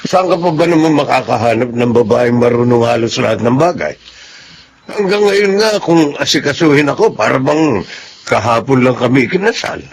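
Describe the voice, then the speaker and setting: Man playing a gentle older father. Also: medium pitch, expressive, unhurried, pauses often, husky. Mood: fatherly. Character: older father, gentle, fond of his wife. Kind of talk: Filipino radio drama acting.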